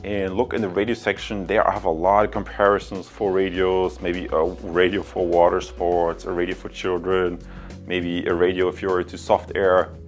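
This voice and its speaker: A man's voice: deep voice, little pitch movement, normal speed, soft background music. A man who hosts a review channel, talking casually and often repeating himself as he goes. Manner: repeats phrases and figures. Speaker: product reviewer and channel host. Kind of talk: casual product review talk.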